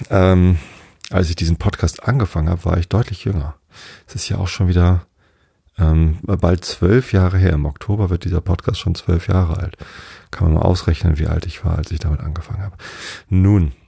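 A man in his forties hosting a bedtime podcast, chatting slowly and rambling with many hesitations. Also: sits low, vocal fry, warm tone, husky, no room sound, clean studio sound. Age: 40s. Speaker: podcast host. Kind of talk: calm bedtime podcast chat.

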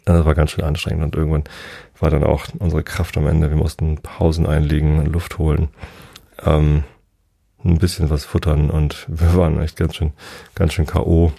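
Very deep, gravelly middle-aged man. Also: flat delivery, moderate pace, mellow. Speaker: host telling a personal travel story. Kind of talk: casual rambling travel storytelling.

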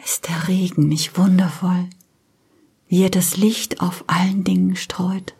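A woman acting a gentle character who marvels at nature in an audio play, in a breathy, hushed and wondering way. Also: fairly low pitch, little pitch movement, heavily acted. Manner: slow, wondering.

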